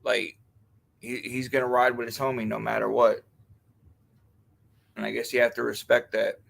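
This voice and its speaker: A man's voice: medium pitch, little pitch movement, vocal fry, a bit bright. A man hosting a live stream, chatting in casual crude banter, easygoing and swearing freely. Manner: easygoing, swears a lot, quick to laugh.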